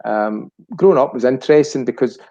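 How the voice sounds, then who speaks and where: A man's voice: mid-range voice, brisk, vocal fry, warm tone, dull audio. A Scottish man of about sixty reminiscing casually as a chat show guest, rambling and searching for words. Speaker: chat show guest, family business director.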